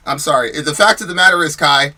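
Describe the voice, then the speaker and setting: A man's voice: a little high, fast talker, pushed and bright. An American man hosting a podcast, giving heated commentary on chat comments, arguing emphatically with rhetorical questions and repeating himself as he gets worked up. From United States. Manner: repeats words and restarts when worked up. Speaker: podcast host.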